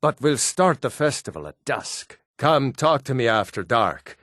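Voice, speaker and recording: A man voicing an earnest Nord townsman in a fantasy video game, speaking scripted lines in a measured, formal way. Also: medium pitch, very animated, a bit bright, dry recording, studio quality. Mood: cheerful.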